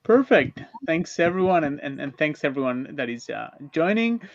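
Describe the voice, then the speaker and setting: A man from Argentina hosts an online panel in a halting way. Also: a little high, sing-song, gravelly, no room sound. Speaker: panel host. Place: converted school bus, video call. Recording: muffled lo-fi online call.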